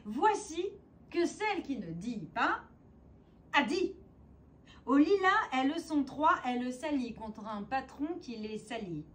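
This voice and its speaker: A woman performing a spoken-word poem in a theatrical, declamatory way, with deliberate phrasing, dramatic pauses and emphasis. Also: sits high, big pitch swings. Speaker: poet and stage performer.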